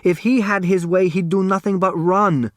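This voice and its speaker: A male narrator plays a gruff restaurant owner in an audiobook, his voice pitched well up as he scolds. He is harried and impatient, barking out complaints in a dry, close recording. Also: steady tone, moderate pace, no room sound, natural voice. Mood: irritated, scolding.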